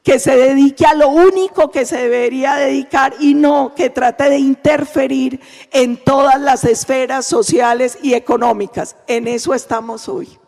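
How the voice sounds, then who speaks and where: A Colombian woman with a very high voice delivers a steady, emphatic political speech. Her words ring with the long echo of a large conference hall. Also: moderate pace, gravelly, faint music behind. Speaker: senator, panel speaker.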